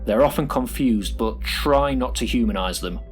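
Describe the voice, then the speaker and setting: A man's voice: medium pitch, normal speed, soft background music, lightly acted. A man playing a callous, officious prison supervisor in an audio drama, instructing in a matter-of-fact, clinical way. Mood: businesslike instruction. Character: callous, officious male prison pod supervisor training a recruit.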